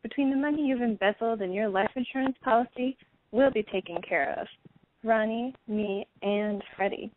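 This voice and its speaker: A woman's voice: fairly high pitch, moderate pace, close to an everyday voice. A woman playing a bitter, vengeful betrayed wife and mother in an audio play, accusing and indignant. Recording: tinny phone-like sound, clear background noise.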